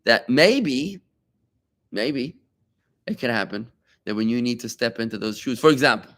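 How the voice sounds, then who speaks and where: A man teaching a Talmud class to students in the room. He is a rabbi, and his delivery is animated and conversational. He often stops to ask rhetorical questions and make quick asides to his listeners. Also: mid-range voice.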